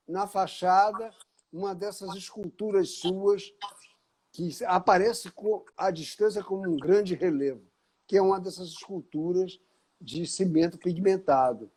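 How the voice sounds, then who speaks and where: A man's voice: sits high, a little mellow, slightly rough. An older man from Paraíba, an art critic interviewing an artist, speaking expansively and correcting himself as he explains. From Paraíba, Brazil. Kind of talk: conversational interview.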